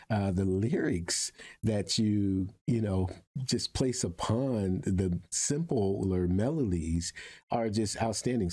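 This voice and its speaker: An older man with a low, dark, relaxed voice conducts a casual interview. He talks in long, effusive, winding sentences as he addresses his guest.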